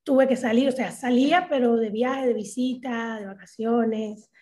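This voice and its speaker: A Cuban woman in her thirties with a Cuban accent, chatting as a guest over a video call, halting with many fillers and restarts. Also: a little high, fairly even intonation, mellow. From Cuba. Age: 30s. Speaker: interview guest, social media comedian. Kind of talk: casual interview talk.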